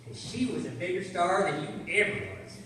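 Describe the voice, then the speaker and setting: High-pitched streetwise circus informant played by a man. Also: normal speed, pushed and bright. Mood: accusing. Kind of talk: old-time radio crime drama acting. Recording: echoey hall, far from mic, faint noise.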